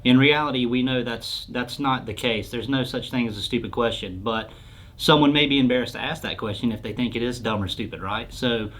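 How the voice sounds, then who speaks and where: A man's voice: mid-range voice, a little fast, a bit bright, low-quality recording. A man answering questions as a sales expert in an informal explainer interview, speaking in a plain, explanatory way.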